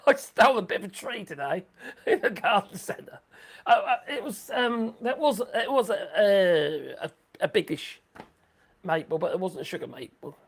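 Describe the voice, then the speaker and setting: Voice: mid-range voice, fairly bright tone. A livestream host rambling through sarcastic commentary, saying the voice is going. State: losing voice.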